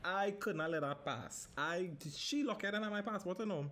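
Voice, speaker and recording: A man's voice: high voice, moderate pace, fairly bright tone. A man in his thirties from Trinidad with a Trinidadian accent, hosting a casual solo podcast, swearing freely and slipping into Caribbean dialect. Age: 30s. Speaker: podcast host.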